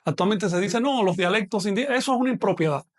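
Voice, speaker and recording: A man's voice: sits high, fast. A man with a non-Mexican Spanish accent teaching a language class online, explaining at length and checking in with his students. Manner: explanatory, addresses students directly with check-in questions. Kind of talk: online lecture.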